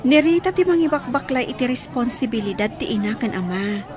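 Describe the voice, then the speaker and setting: A woman's voice: a little high, animated, brisk, background noise, natural voice. A woman playing a concerned young friend in a radio drama, earnestly explaining a family's troubles.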